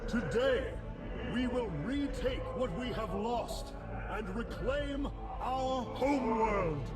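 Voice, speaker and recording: A man's voice: very high-pitched, takes it slowly. A male actor playing a young, noble alien warrior leader in a game cutscene, stately and oratorical. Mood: proud, rousing. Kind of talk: rousing game cutscene speech. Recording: echoing, distant, under loud music.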